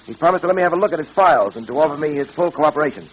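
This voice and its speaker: An actor playing a serious, determined police detective in an old-time radio drama, businesslike in manner. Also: male voice, a little high, lively intonation, close to an everyday voice. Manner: measured wording, serious, businesslike. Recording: noisy old radio recording, hum.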